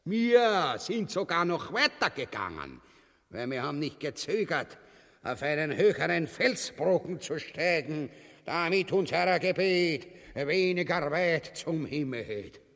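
An actor with an Austrian accent playing a pompous, gluttonous, cowardly tyrant in bombastic dialect for a grotesque comic radio drama. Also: male voice, fairly high pitch, sing-song, unhurried, vocal fry, a bit bright, exaggerated voice. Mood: pompous, boastful. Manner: bombastic, drawling, mock-elevated dialect speech. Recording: long hall-like reverb.

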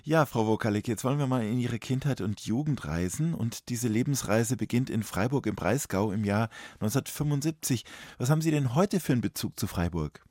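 A man hosts a radio talk show in a studio, asking his guest polite, probing questions at a measured pace. His voice sits at a middle pitch. It is recorded dry, with no room sound around it. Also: expressive, darkish tone, no echo.

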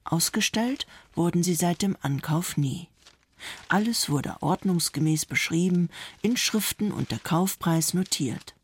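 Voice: mid-range voice, expressive, a little slow, slightly rough. A narrator reading a scripted documentary report.

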